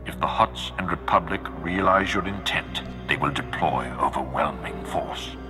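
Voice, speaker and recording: An actor playing a grim, commanding dark lord in a video game, speaking in a grave, formal and measured way. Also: male voice, very deep voice. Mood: stern, explaining. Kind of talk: scripted video game acting. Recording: game music behind the voice.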